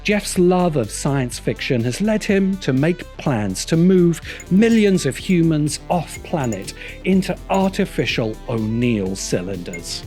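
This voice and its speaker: A man's voice: a little high, sing-song, a little slow, hardly any pauses, darkish tone, soft background music. A man narrating a scripted video essay with wry, critical commentary.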